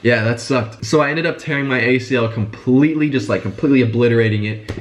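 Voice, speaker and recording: A man's voice: medium pitch, background noise. A young man telling his story in a casual vlog while congested and sick with a stuffy nose. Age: young adult. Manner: fast, chatty, informal. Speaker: business vlogger.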